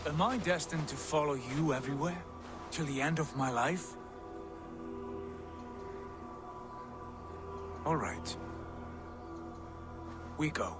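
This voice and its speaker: An actor playing a proud, bitter Viking hungry for glory in a video game, with intense, brooding delivery. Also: male voice, a little high, lively intonation, measured pace. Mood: bitter, resigned. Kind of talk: dramatic video game acting. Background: game music.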